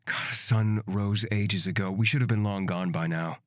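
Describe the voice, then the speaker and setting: A man's voice: lowish voice, normal speed, no room sound, clean studio sound, natural voice. A man voicing a proud, teasing young man in a fantasy visual novel, with a mocking, brusque manner. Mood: irritated.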